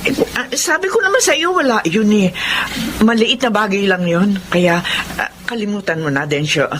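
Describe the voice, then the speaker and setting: A woman's voice: mid-range voice, expressive, normal speed, fairly bright tone, a little hiss or hum, natural voice. A woman playing a nervous, evasive older wife in a Filipino radio drama, flustered and stammering.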